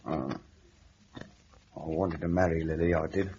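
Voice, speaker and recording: An actor playing a nervous, lovesick working-class lodger in an old-time radio drama, stammering, evasive and defensive. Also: male voice, rumbling low, steady tone, a little slow, a little mellow. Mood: dejected, hesitant. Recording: dull, noisy old radio recording.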